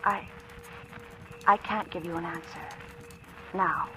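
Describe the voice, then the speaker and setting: A woman's voice: on the low side, steady tone, slow, poor audio. An actress playing an anxious young woman with a guilty secret, tense and hesitant, in a 1940s radio drama style. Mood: weary, subdued. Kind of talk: 1940s radio acting, with confessional first-person narration. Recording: old radio drama recording, music underneath.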